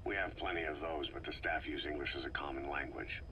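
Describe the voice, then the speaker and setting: An actor playing a terse, stoic soldier in a video game, with a gruff, gravelly voice and deadpan delivery. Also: male voice, a bass voice, fairly quick, a little hiss or hum. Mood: terse, flat. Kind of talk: scripted video game dialogue.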